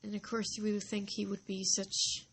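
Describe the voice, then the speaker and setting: A woman narrating a story informally, halting and deliberate, with dramatic pauses between short phrases. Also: mid-range voice, monotone, a bit bright, a little hiss or hum.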